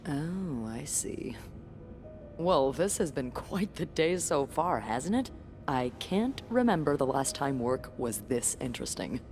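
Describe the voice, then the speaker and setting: A lively, gossipy coworker. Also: female voice, low-pitched, unhurried, faint music behind. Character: chatty, gossipy hotel coworker woman.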